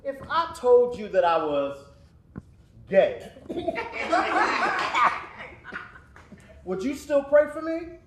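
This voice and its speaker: A man's voice: very high-pitched, very animated, drawn-out words, pauses often, reverberant, background noise, heavily acted. An actor playing a blunt, defiant young man who challenges a pastor in a stage play.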